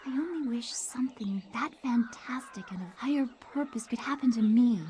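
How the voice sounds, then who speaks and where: A woman's voice: fairly high pitch, normal speed, dark tone, a little hiss or hum, close to an everyday voice. An actress playing a restless, bored young woman in a video game.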